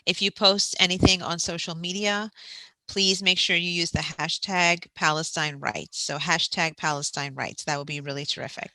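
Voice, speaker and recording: A woman's voice: on the low side, fairly even intonation, moderate pace, pushed and bright, dry recording, low-quality recording. A woman hosting a festival session, giving friendly announcements and reading out a guest's prepared introduction. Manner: friendly, informative, reads a prepared bio. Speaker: festival host.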